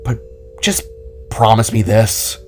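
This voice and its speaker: A man's voice: on the low side, flat delivery, takes it slowly, a little hiss or hum. A man playing a protective, worried older male cousin in an audio drama, speaking in a hesitant, tender, weary way. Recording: music under the voice.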